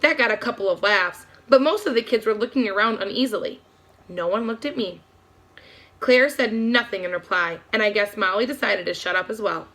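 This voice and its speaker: Bright-voiced woman reading a story aloud. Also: medium pitch, a little fast. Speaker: read-aloud narrator.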